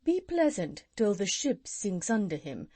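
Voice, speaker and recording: A wise owl murmurs calm advice, as a woman reading a storybook puts it on. The sound is dry and close. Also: mid-range voice, unhurried, dry recording. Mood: hushed. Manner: hushed, urgent advice.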